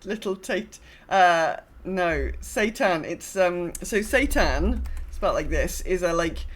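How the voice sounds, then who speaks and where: A woman's voice: lowish voice, animated, slow. A woman with a British accent chatting casually as a podcast co-host, telling anecdotes and often repeating and correcting herself. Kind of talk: casual chat, personal anecdotes.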